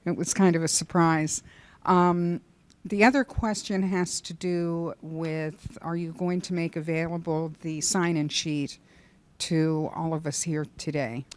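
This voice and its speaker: Lower-voiced woman consultant asking questions. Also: steady tone, unhurried. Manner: apologetic, direct.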